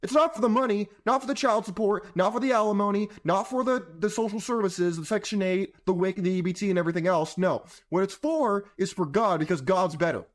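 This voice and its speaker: A man hosting a podcast, his voice partly gone and hoarse, talking in a provocative, sarcastic, lecturing way. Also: sits high, a little fast, hardly any pauses. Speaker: podcast host. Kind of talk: opinionated podcast monologue.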